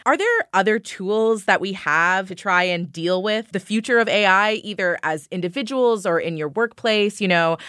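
A woman hosting a panel, asking inquisitive, measured questions. Her voice is low for a woman. The sound is dry, with no room reverb. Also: bright, forceful tone. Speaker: host. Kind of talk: moderating a panel.

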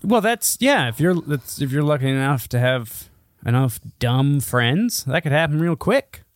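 A man's voice: fairly high pitch, very animated, measured pace, no echo, clean studio sound. A man co-hosting a podcast in casual, argumentative banter, blunt, skeptical and free with swearing. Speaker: podcast co-host.

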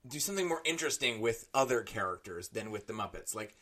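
A man's voice: lowish voice, expressive, quick pace, pushed and bright. A man in his thirties chatting casually as a podcast co-host, rambling along with bursts of emphasis. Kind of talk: casual chat reviewing a TV show.

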